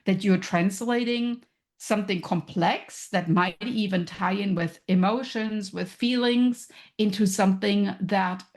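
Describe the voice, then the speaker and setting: A woman's voice: mid-range voice, unhurried, darkish tone. A woman from Germany with a German accent, a podcast guest who explains her ideas thoughtfully, with examples. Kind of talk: casual interview chat.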